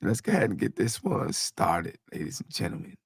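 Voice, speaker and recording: A man hosting a casual fan podcast while hoarse and losing his voice, talking in an animated, rambling way. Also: deep voice, brisk, no echo, studio quality. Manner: animated, hype greetings, rambling. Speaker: podcast host.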